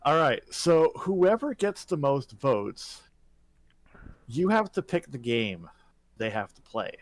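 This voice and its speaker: Lively man chatting, dry close sound. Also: mid-range voice, slow, dry recording, low-quality recording. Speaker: podcast co-host and streamer. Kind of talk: casual bantering chat.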